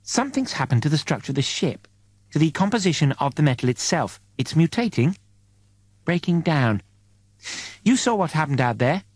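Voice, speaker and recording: A man voicing a grave, earnest and worried spaceship crew member in an audiobook, low-key but urgent. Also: big pitch swings, normal speed, slightly rough, no room sound.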